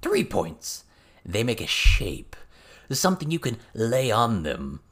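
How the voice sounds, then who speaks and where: A man voicing a crude, excitable little male goblin who lectures haltingly and theatrically in an audiobook. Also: mid-range voice, exaggerated voice. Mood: instructive, emphatic.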